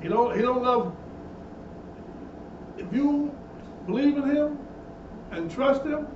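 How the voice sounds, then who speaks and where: Man preaching hard, kinda high voice, roomy echo, mic far off. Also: lively intonation, slow. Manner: emphatic, repetitive preaching with rising intensity. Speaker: minister. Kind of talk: bible teaching sermon. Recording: echoey, distant, dull room sound with noise.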